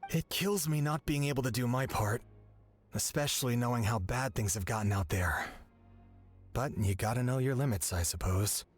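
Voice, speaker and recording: Man playing an earnest young game warrior, medium pitch. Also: normal speed, faint music behind, close to an everyday voice. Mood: rueful. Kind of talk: video game voice acting.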